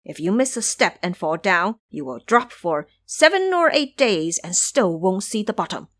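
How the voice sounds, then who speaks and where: A woman voicing a sly, confident male monkey trickster with a teasing, smooth-talking manner in an audio storybook. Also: medium pitch, sing-song, moderate pace.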